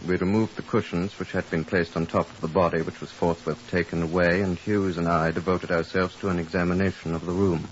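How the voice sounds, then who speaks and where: An actor playing a dry, sceptical police superintendent in a 1950s radio drama, with a clipped, matter-of-fact manner. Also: male voice, low-pitched, little pitch movement, a little fast, few pauses, natural voice. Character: dry, sceptical male Scotland Yard superintendent. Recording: muffled hissy old radio recording.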